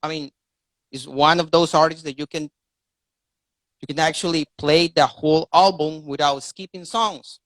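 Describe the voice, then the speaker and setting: A man's voice: fairly high pitch, steady tone, moderate pace, fairly bright tone, no room sound, poor audio. A man from Rica, hosting a casual live video chat in English and often repeating words as he searches for them. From Costa Rica. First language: Spanish. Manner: rambling, repeats words while finding them. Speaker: live stream host.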